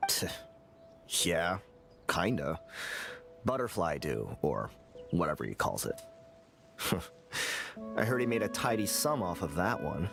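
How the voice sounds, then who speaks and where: A man's voice: sits low, very animated, takes it slowly, vocal fry, pauses often, exaggerated voice. An actor playing a jealous male researcher in a game, with a sulky, scoffing, grudging way of talking. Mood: resentful. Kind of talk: game voice acting. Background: game music.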